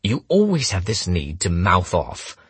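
A man's voice: deep voice, wildly swooping pitch, measured pace, fairly bright tone, husky, no room sound, exaggerated voice. A man voicing a smug, menacing male villain for an audiobook, with a commanding, sneering delivery. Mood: bitter sneering. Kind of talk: audiobook character dialogue.

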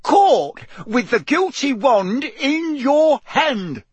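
A man voices a harsh, suspicious male official in a fantasy storybook. He barks and growls his accusations in a raised, husky voice with big swings of pitch. The manner is blustering and forceful. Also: very animated, measured pace, no room sound, exaggerated voice. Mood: triumphant roar. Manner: barking, growling, interrogating.

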